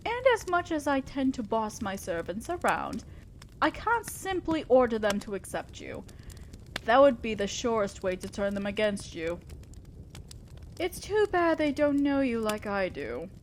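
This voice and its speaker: A woman's voice: on the high side, very animated, slight background noise. A woman playing an imperious, old-fashioned female demon lord in an audio roleplay, speaking formally and with condescending concern to the listener. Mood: thoughtful, concerned. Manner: commanding, formal, measured, condescending yet concerned. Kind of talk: scripted roleplay monologue addressed to the listener.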